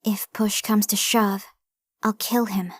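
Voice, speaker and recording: A woman playing a fiercely protective young girl in an anime dub, bossy and matter-of-fact even when threatening. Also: medium pitch, slow pace, breathy edge, no room sound, studio quality. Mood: smug threatening. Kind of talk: anime fan dub acting.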